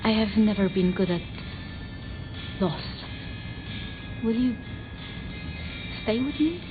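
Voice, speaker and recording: A woman voicing a timid, bookish, deferential vampire scholar in video game dialogue, speaking haltingly and apologetically. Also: medium pitch, animated, narrow, tinny audio. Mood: halting grief. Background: background music.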